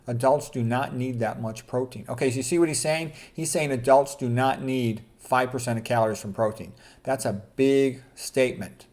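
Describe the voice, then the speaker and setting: A man's voice: medium pitch, normal speed, few pauses, darkish tone. A man lecturing informally as a doctor, reading out quotes and adding blunt, opinionated, colloquial commentary. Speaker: doctor presenting a health lecture.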